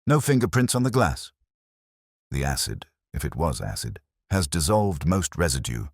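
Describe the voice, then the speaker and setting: A man's voice: a bass voice, very animated, moderate pace, husky, no room sound, studio quality, full character voice. A man voicing a businesslike, impatient male police inspector, reporting matter-of-factly in an audiobook mystery. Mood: businesslike, puzzled.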